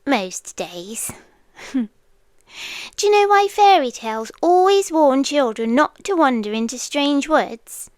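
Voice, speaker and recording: Ancient protective female creature played by a woman, British, high-pitched and hushed, eerie yet tender, very slow intimate ASMR delivery. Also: expressive, no room sound. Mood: grave, ominous. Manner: hushed, often whispering, with lingering pauses.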